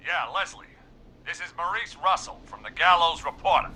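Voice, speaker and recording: A man's voice: fairly high pitch, moderate pace, pushed and bright, poor audio. An actor playing a brash, self-important man phoning in to a radio show in a video game. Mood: agitated, insistent. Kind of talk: video game voice acting of radio phone-in callers.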